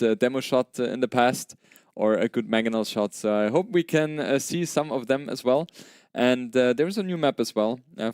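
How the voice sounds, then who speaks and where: Clear, conversational male esports commentator. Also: medium pitch, darkish tone, no room sound, studio quality. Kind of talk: live tournament commentary.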